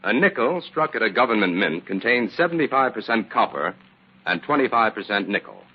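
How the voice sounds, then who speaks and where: Low-voiced radio detective, husky and measured. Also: male voice, big pitch swings, full character voice. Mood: assured, explanatory. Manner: measured, explanatory, assured. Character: calm, shrewd male scientific detective with a lab. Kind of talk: old-time radio drama acting. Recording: muffled old-time radio recording.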